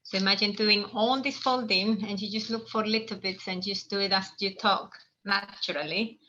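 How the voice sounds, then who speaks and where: A woman's voice: mid-range voice, steady tone, normal speed, pushed and bright, highs cut off, a little hiss or hum. A woman from El Salvador hosting a live online origami lesson, explaining in a friendly, meandering way with restarts. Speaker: workshop host.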